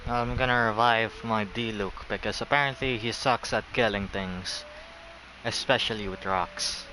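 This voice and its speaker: A man's voice: fairly low pitch, steady tone, moderate pace, bright, forceful tone, a little hiss or hum, poor audio. A man giving casual commentary as he plays a video game. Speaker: gamer, streamer. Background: game music.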